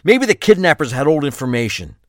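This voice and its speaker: An actor playing an ordinary husband caught up in a crime thriller audio drama. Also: male voice, a little high, big pitch swings, fairly quick, no room sound, clean studio sound. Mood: distressed, defensive. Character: distressed ordinary husband of a kidnapped wife.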